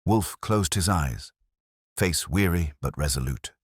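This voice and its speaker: Very deep-voiced man narrating detective fiction. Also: lively intonation, unhurried, slightly rough, no echo, clean studio sound. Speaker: audiobook narrator. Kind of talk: scripted first-person detective story narration.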